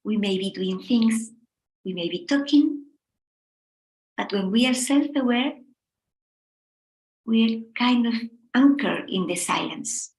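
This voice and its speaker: A middle-aged woman from Spain with a Spanish accent, gently leading a meditation talk in short, unhurried phrases with pauses. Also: fairly high pitch, expressive, roomy sound, low-quality recording. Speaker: meditation teacher.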